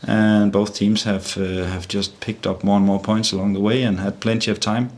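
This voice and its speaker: A middle-aged Danish man with a low, deep voice speaks English with a Danish accent at a press conference. He talks haltingly and often repeats words before finding his phrasing. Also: little pitch movement, a little mellow. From Denmark. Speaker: football manager.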